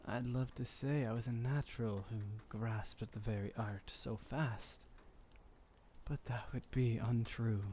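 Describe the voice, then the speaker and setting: A man playing a gentle, doting, centuries-old vampire boyfriend, speaking softly and tenderly with slightly old-fashioned formality in an intimate ASMR roleplay. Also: mid-range voice, a little hiss or hum, close to an everyday voice. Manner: soft, unhurried, tender, lightly formal old-fashioned phrasing.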